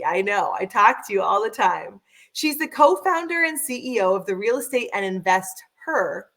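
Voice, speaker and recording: A woman's voice: mid-range voice, fairly bright tone. Enthusiastic woman podcast host. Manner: enthusiastic, effusive. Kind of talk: upbeat interview hosting.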